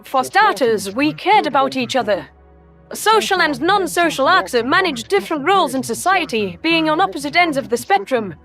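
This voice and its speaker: A woman playing an ancient, principled alien predator soldier who speaks in a smooth, formal, reproachful way in an audio story. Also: high-pitched, very animated, normal speed, a bit bright, exaggerated voice. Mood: appalled. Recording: music under the voice. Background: music.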